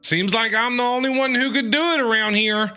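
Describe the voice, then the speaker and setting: A man streaming a game, reacting with quick jokes and animated quips. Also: very high-pitched, clean tone, faint music behind. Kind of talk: reaction commentary over a game.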